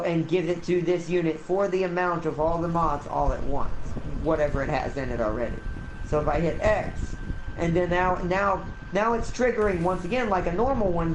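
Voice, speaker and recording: A man giving casual gameplay commentary and teaching a co-player, halting and often repeating himself. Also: on the high side. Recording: roomy echo, loud background noise.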